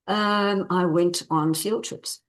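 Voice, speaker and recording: A woman author answering interview questions in a conversational, measured and explanatory way, repeating phrases for emphasis. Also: lowish voice, fairly even intonation. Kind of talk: conversational interview answers about own book.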